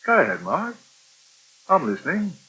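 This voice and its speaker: Brusque british press boss, radio soap from 1980, really cutting bright voice, sure of himself. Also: male voice, high voice, huge pitch swings, moderate pace, husky, heavily acted. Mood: smoothly genial. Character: brusque, self-assured male newspaper owner and boss. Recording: dull 1980 radio recording.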